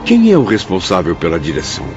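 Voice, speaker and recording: An actor playing a curious, melancholy spirit in a radio drama, with a literary, expressive delivery. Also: male voice, deep voice, quick pace, highs cut off, over music. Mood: questioning. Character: curious, melancholy male spirit recounting his journey.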